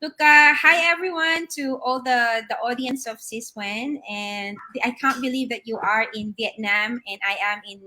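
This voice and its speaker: Middle-aged woman from the Philippines, bright higher voice, video-call guest from a home office, chatty with restarts. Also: moderate pace, hardly any pauses. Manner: warm storytelling with frequent fillers and restarts. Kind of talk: live online interview.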